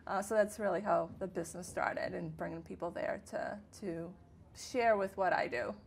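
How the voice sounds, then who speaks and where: A woman's voice: fairly low pitch, steady tone, normal speed, creaky, slight background noise. A woman in her thirties, born and raised in Italy, chatting easily as an interview guest with plenty of fillers, recorded on a lapel mic in a small studio. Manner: warm, chatty, frequent fillers. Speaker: guest, tour business owner. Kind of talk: casual interview talk. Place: small studio set, indoors.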